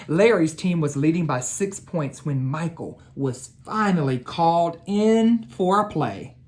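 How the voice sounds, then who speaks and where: A woman educator reading a storybook and talking to children, in a deliberate, emphatic manner. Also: deep voice, expressive, a little mellow. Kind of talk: storybook read-aloud and talk to children.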